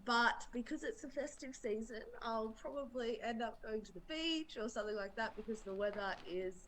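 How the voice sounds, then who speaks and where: A woman from Australia, a guest on a video podcast, chatting in a relaxed, giggly way over a video call. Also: fairly high pitch, moderate pace, a little hiss or hum, low-quality recording. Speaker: guest fighter. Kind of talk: casual interview chat.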